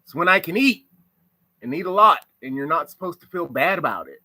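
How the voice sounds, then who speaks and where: A man's voice: on the high side, expressive, brisk, pushed and bright, no room sound. A man from the United States giving a reflective monologue on social issues, asking rhetorical questions. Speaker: commentator.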